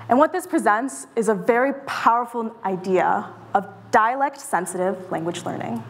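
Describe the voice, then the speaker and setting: A young woman presents a tech pitch on stage in a smooth, confident, rehearsed flow. Her voice sits fairly high, and she is picked up by a clip-on mic in a mid-sized event room. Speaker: startup presenter.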